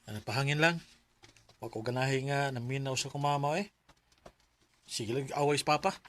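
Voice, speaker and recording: A man's voice: mid-range voice, brisk, a bit bright, a little hiss or hum, lightly acted. A man with a Cebuano Filipino accent playing a disgruntled, protective young man in a radio drama. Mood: sullen.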